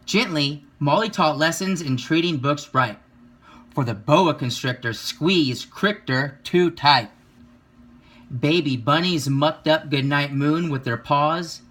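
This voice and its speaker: Bright-voiced man reading a children's story. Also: fairly high pitch, slow. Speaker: reader of a children's picture book. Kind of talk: children's story read-aloud.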